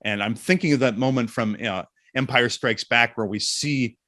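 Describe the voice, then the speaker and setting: A middle-aged man chatting casually as an interview guest, telling jokey anecdotes in an animated way. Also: medium pitch, normal speed, no echo, studio quality.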